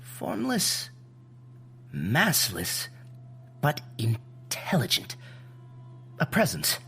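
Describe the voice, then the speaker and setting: An actor playing an earnest, cerebral scientist in an audio drama, explaining deliberately and searching for simple words. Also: male voice, medium pitch, wildly swooping pitch, creaky, pushed and bright, a little hiss or hum, exaggerated voice. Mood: grave, awed. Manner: deliberate, groping for simple analogies. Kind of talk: naturalistic audio drama acting.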